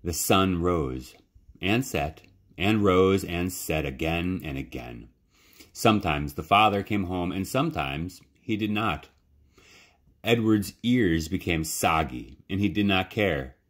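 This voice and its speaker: A man with a low, deep voice narrates a children's story. He reads expressively and in a measured way, leaving dramatic pauses between phrases. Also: lively intonation. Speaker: library storytime reader.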